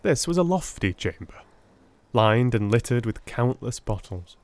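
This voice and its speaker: A man narrating a classic story in the first person, with theatrical, measured, literary storytelling. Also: mid-range voice, big pitch swings, no room sound. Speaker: audiobook narrator.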